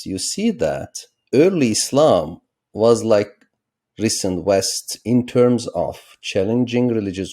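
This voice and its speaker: A middle-aged man from Turkey speaks as an interview guest. His voice sits low and warm, and he explains in a measured, lecturing way, pressing hard on key words. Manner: measured, lecturing, with rising emphatic stresses. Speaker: political science professor, guest.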